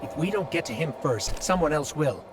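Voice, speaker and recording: An actor playing a small, earnest robotic guide in a video game, wary and measured. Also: male voice, on the high side, animated, close to an everyday voice. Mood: concerned, investigative. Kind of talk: video game companion dialogue. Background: loud game music.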